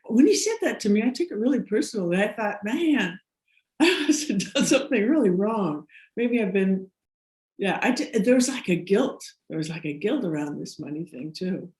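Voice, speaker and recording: Woman, American accent, middle-aged, rambling, laughing, mid pitch, roomy echo. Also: darkish tone. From United States. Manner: rambling, laughs often, many restarts. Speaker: guest. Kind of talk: casual interview storytelling.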